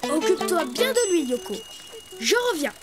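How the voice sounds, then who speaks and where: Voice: high-pitched, very animated, normal speed. An actor voicing a cheerful, helpful little rabbit boy in a children's educational cartoon, explaining things in an encouraging way. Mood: calm, instructive. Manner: encouraging, instructive. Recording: music behind the voice.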